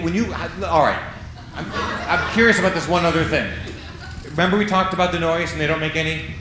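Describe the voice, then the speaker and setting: Jokey stand-up comic man, echoey club. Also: high-pitched. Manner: jokey, drops into silly voices and sound effects. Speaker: stand-up comedian. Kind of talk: stand-up comedy set. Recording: echoey club with audience noise.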